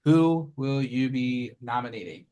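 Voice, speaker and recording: A man's voice: mid-range voice, a little slow, warm tone. A man hosting an online game over a video call, playful and prone to trailing off. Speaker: game show host.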